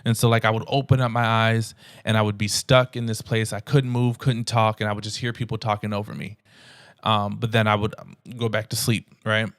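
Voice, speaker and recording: A man's voice: lowish voice, no room sound, clean studio sound. Earnest young man telling his story. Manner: earnest, conversational, animated retelling with frequent restarts. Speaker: podcast host. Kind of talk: personal storytelling.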